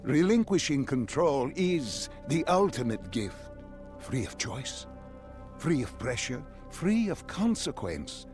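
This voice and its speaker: An actor playing a sinister, grandiose male doctor in a video game, speaking in a deliberate, condescending, theatrical way. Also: on the high side, big pitch swings, darkish tone, soft background music. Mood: fervent, indignant. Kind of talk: dramatic video game acting.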